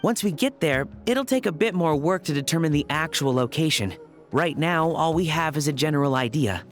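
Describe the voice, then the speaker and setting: A man's voice: fairly high pitch, expressive, fairly quick, faint music behind, close to an everyday voice. A man playing a keen, methodical, young-sounding investigator in a video game, precise and formal. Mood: matter-of-fact.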